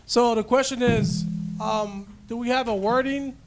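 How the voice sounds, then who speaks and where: A man in a meeting asking a question hesitantly, with pauses and restarts. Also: extremely high pitch, fairly even intonation, music in the background.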